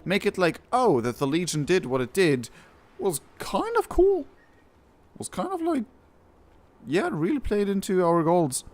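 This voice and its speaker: A man's voice: high voice, sing-song, a little slow. A man doing casual, rambling reaction commentary as a gamer, sometimes acting out mock quotes. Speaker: gamer reacting on his channel. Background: faint game music.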